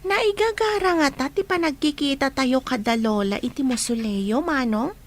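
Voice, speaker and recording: A woman's voice: high voice, moderate pace, natural voice. A woman playing an affectionate, eager young girl in a radio drama, with an Ilocano accent.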